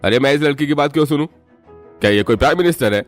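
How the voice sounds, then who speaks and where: A man's voice: fairly high pitch, rapid-fire, soft background music, natural voice. A man voicing a greedy, bullying fake policeman in a cartoon story, bossy and threatening. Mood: bossy, demanding.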